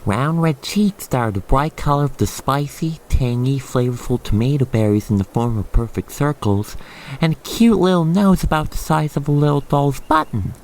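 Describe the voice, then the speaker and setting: A man's voice: mid-range voice, moderate pace, a little mellow, low-quality recording. A man narrating an ASMR roleplay, telling the story theatrically and speaking directly to the listener.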